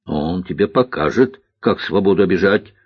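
A man's voice: sits low, lively intonation, moderate pace, breathy edge, dry recording, muffled recording. A man voicing a plump, kindly but dogmatic army cook woman in a children's audiobook, earnest and given to sighs and slogans. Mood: scolding. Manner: sighing, earnest, parroting slogans. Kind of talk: audiobook character voice, children's science fiction.

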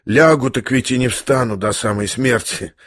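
A man reading an audiobook, voicing a young man who is dying, speaking quietly and hoarsely in a weary, ironic calm. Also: mid-range voice, no room sound, studio quality, natural voice. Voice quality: hoarse, near whisper. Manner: slow, weary, faintly mocking calm.

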